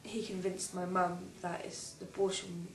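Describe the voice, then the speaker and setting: A young woman in her late teens, a young mother, telling her story hesitantly with pauses. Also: lowish voice, level tone, faint music behind. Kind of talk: personal testimony. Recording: roomy, distant, faint noise.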